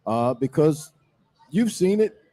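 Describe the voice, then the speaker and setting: A man's voice: fairly high pitch, big pitch swings, takes it slowly, mellow. A middle-aged man, a former player on a sports talk panel, emphatic and repeating phrases for weight.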